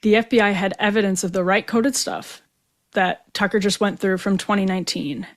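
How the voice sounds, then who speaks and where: American woman hosting, mid-pitched. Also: normal speed. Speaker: talk show host. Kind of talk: conversational news commentary.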